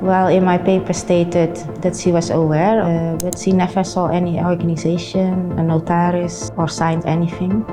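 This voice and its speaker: A woman in her forties with a Dutch accent, telling her own story in halting non-native English during an interview. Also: lowish voice, level tone, music in the background, rough recording. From Netherlands. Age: 40s. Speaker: interviewee, non-profit founder. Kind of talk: personal account in an interview.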